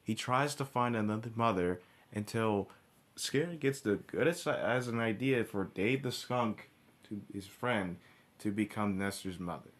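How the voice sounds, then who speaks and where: A man giving informal, ranting review commentary, blunt and profane, often backtracking and restarting his sentences. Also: fairly low pitch. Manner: halting, with repeated restarts and backtracking. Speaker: cartoon episode reviewer.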